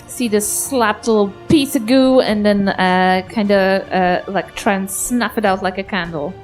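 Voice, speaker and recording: A woman with a New Zealand accent, hesitant and halting as she plays a live tabletop game. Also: medium pitch, soft background music, poor audio. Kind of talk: casual improvised banter. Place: live on stage at a festival club.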